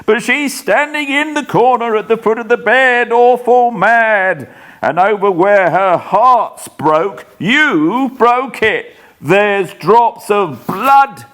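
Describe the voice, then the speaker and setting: A man's voice: high voice, lively intonation, takes it slowly, a bit bright, voice pushed up, full character voice. A man voicing a raving, terrified sick swindler who shrieks about a ghost, in a storybook reading. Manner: shrieking, panicked, wailing.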